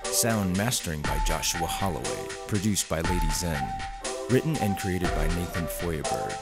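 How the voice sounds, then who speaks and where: A man's voice: low-pitched, moderate pace. A man reading scripted closing credits as an announcer. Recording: very loud music underneath.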